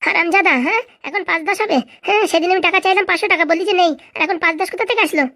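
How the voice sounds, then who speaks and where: A woman voicing a quarrelsome, sharp-tongued cartoon wife, scolding indignantly in comedy dialogue. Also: very high voice, racing, exaggerated voice.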